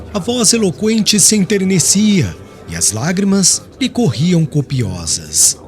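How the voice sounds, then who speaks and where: A man with a Brazilian accent narrating a literary audiobook in an expressive, scripted style. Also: a little high. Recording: music bed under the voice.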